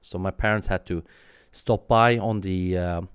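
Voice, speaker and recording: A man in his thirties from Sweden, answering interview questions in a thoughtful, halting way with many fillers and restarts. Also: deep voice, fairly even intonation, clean tone, dry recording, studio quality. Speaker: guest.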